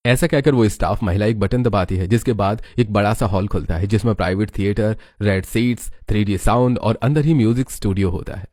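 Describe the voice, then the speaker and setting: A male storyteller with a fairly low voice reads scripted narration. He describes scenes in the manner of a moral tale. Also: a little fast, few pauses, a little mellow, no room sound, clean studio sound.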